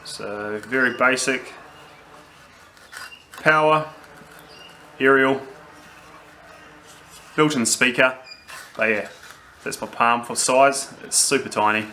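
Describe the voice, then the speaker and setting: A man's voice: medium pitch, bright, forceful tone. Australian man, roomy distant recording. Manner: unscripted, halting, thinking aloud. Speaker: vlogger reviewing gear. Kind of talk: casual unboxing and review talk. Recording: roomy, distant mic, noisy background.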